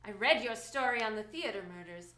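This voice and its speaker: An actress playing a poised, bold socialite and masked heroine in a radio drama, composed and a little arch. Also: female voice, a little high, lively intonation, a little fast, sharp tone. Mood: sociable, coy. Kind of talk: 1940s-style radio drama acting.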